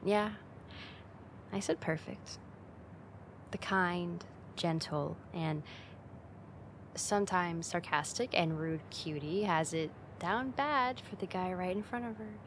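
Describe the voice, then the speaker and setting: Casting: actress as teasing young female roommate, ASMR roleplay, unhurried, mid-pitched, playful and affectionate, close-miked with some background noise. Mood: tender, sincere. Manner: teasing, intimate, giggly, slow and coaxing.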